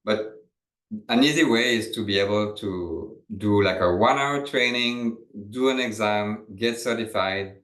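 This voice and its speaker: A man with a French accent talks through a webinar presentation in a relaxed, conversational way, stopping to restart his sentences. His voice sits fairly low. The recording has a roomy echo. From France. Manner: loose and conversational, with fillers and restarts.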